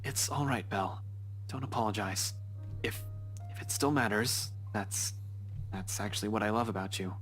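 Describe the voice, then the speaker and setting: A man's voice: lowish voice, normal speed, fairly bright tone, natural voice, low-quality recording. Actor playing a wry but tender young man. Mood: tender, awkward. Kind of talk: visual novel game voice acting. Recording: music behind the voice. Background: game music.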